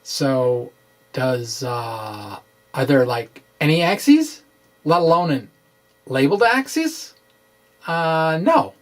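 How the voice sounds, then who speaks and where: Slow-paced middle-aged man explaining science. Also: on the high side, expressive. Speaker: science blogger presenting. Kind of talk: informal dramatic reading of a physics explainer. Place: small indoor room with bookshelves. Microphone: microphone on stand close in front.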